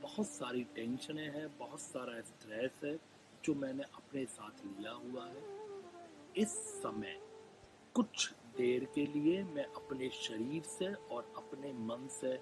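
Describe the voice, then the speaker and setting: A man with an Indian accent leads a live guided meditation in a gentle, soothing manner, leaving long pauses and repeating phrases. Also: medium pitch, rough recording. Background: background music.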